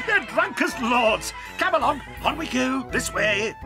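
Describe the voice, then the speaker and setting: A man playing an eccentric, excitable and mischievous sweet factory owner in a dramatised audiobook, theatrical and gleeful, then abruptly dismissive. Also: very high voice, slow, vocal fry, music in the background, full character voice. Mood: excited.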